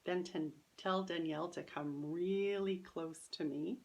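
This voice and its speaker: Female author-narrator for children's fiction: deep for a woman, gravelly creak, first-person storybook reading. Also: steady tone, unhurried, a little mellow.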